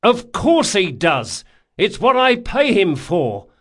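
A man voicing a foul-mouthed young sea captain in an audiobook, shouting commands and theatrical insults. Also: high voice, wildly swooping pitch, slow pace, voice pushed up, heavily acted. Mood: mock-furious. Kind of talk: audiobook character acting.

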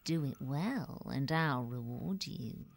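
A man voicing a scheming, seductive female vixen in an audiobook, hushed and hissing. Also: medium pitch, lively intonation, slow, gravelly, no echo, pitched above the performer's own voice, heavily acted. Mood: sly, enticing. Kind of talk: audiobook character voice.

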